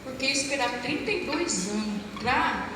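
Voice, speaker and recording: A middle-aged woman with a Brazilian Portuguese accent telling her church testimony to an audience, a lively storyteller who acts out dialogue and asks the crowd questions. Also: sits high, measured pace, noisy background. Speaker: former pastor giving a testimony. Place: large hall. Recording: echoey hall from far off with music. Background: music.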